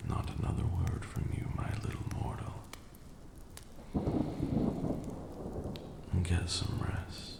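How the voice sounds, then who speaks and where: A man's voice: normal speed, roomy sound, very noisy, natural voice. A man playing an ancient, proud and protective vampire lord in an ASMR roleplay, speaking formally and dramatically. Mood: gentle, hushed. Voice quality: very deep, gravelly, breathy at times.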